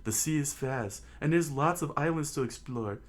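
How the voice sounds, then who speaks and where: A man voicing a boisterous crew of male pirates, loud and rowdy. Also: on the high side, normal speed, pitched above the performer's own voice, lightly acted.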